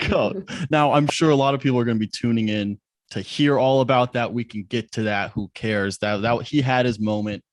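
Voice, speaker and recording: A man's voice: medium pitch, moderate pace, no room sound. A young man from Indiana hosting a podcast interview, chatty and self-deprecating, often restarting his sentences. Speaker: podcast host. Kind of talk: casual interview chat.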